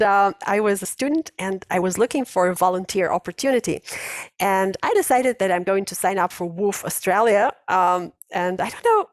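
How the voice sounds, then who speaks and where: A woman's voice: mid-range voice, dry recording. Woman host, 40s, chatty and laughing, dry close video-call sound. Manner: chatty, laughs often, many restarts. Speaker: host of an English conversation class. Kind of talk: casual online class chat.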